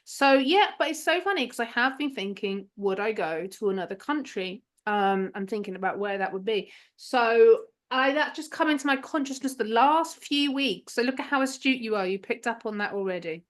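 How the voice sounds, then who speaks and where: A woman, a hypnotherapist chatting as a podcast guest, laughing often and trailing off into softer asides. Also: on the high side, normal speed, few pauses. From England. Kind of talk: casual interview chat.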